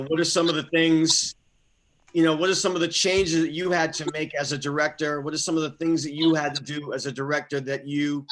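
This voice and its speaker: A man's voice: a little high, low-quality recording. A man in the audience of an online session asking an informal, loosely worded question.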